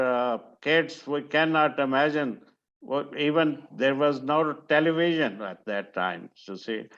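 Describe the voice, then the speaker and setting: An older man from Pakistan, a retired museum director, introducing himself slowly on a video call with many pauses and fillers. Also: mid-range voice, fairly bright tone. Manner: slow and halting, many fillers and restarts. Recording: muffled video call audio.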